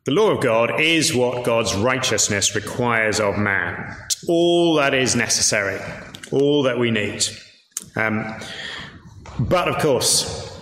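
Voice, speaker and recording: A man's voice: medium pitch, lively intonation, takes it slowly, a bit bright. A man with an English accent preaching a sermon in a conversational, somewhat hesitant way with dry asides. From York, England. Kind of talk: sermon with storytelling. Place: large reverberant indoor room. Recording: echoey hall, reverberant, some background noise.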